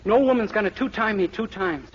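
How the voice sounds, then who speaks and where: A man's voice: sits high, brisk, close to an everyday voice. A male actor as a naive young man, jealous and easily wounded, puffing himself up with mock-formal indignation. The 1940s radio comedy recording is dull, with faint noise behind it. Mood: wounded, haughty.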